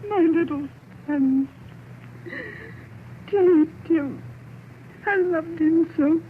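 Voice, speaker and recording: An actress playing a grieving mother in an old radio play, speaking softly and tearfully. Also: very high-pitched, drawn-out words, heavily acted. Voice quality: soft, tearful delivery. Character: grieving mother of a poor family. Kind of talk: 1930s radio drama acting. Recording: tinny old radio broadcast with faint hiss.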